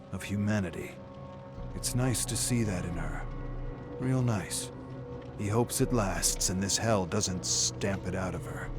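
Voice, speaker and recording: Low-voiced grizzled war veteran played by a man, world-weary. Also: close to an everyday voice. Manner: folksy tough-guy slang, world-weary. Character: grizzled, cynical older male war veteran. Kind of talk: dramatic scripted story narration with character voice. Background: loud music.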